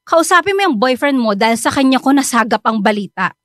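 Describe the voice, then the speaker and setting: Stern, high-pitched school principal. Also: female voice, expressive, quick pace, a bit bright, dry recording, studio quality. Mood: stern, disappointed. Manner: formal, reproachful. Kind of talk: melodramatic radio drama acting.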